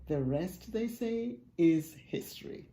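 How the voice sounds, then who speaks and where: An older woman, an author, reading a book's foreword aloud from her home study with expressive storytelling emphasis. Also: lowish voice, slow. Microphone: webcam at desk, close framing.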